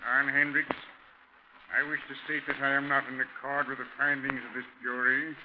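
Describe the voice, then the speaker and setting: A man playing a stern, solemn judge in 1940s radio drama, formal and measured, on an old recording. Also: mid-range voice, little pitch movement, narrow, tinny audio, very noisy, lightly acted. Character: stern, formal male judge passing sentence.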